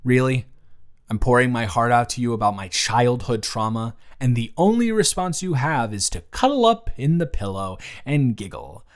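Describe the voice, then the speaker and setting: An earnest young man in a romantic audio drama, acted by a man, talking straight to the listener. His delivery is conversational and emotive, in a mid-pitched voice. He comes across as flustered and lovestruck, open about his feelings. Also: animated, moderate pace, natural voice. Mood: exasperated. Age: young adult. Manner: conversational, emotive, swinging from hushed to exasperated. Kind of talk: romantic audio roleplay monologue addressed to the listener.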